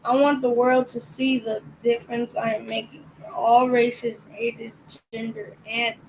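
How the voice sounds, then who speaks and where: A young reader works through a picture book aloud, sounding each line out carefully and stumbling now and then. The voice sits a little high, and the pace is very slow and deliberate. Faint background noise runs underneath. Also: female voice, level tone, a little mellow, low-quality recording. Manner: careful reading, occasional stumbles on words.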